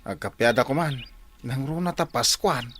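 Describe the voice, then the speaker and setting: An actor with an Ilocano accent playing a mild, conciliatory husband in a radio drama, polite and a little hesitant. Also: male voice, medium pitch, moderate pace, fairly bright tone, faint music behind, natural voice. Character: mild, conciliatory husband plotting to reconcile wives. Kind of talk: Philippine radio drama acting.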